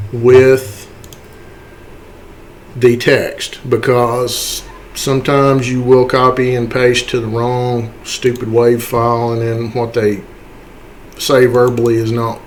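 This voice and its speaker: Slow-talking man giving a casual tutorial. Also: mid-range voice, little pitch movement, slight background noise. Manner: self-deprecating, apologetic, folksy. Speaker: tutorial host. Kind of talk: casual, unscripted screen-capture tutorial. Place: indoors, voice-over to screen capture.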